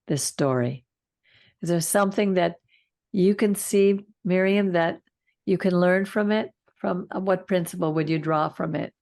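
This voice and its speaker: An older woman hosting a Torah study over a video call, reading the passage slowly and calmly and stopping to comment. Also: fairly low pitch, vocal fry, no room sound, clean studio sound. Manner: slow, calm reading with side comments. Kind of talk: reading scripture and teaching.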